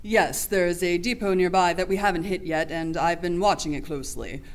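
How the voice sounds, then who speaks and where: A woman's voice: on the low side, fairly even intonation, fairly quick, close to an everyday voice. A woman playing a blunt, resentful security chief in a radio play, curt and businesslike. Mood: businesslike, reluctant. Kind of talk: full-cast radio play acting.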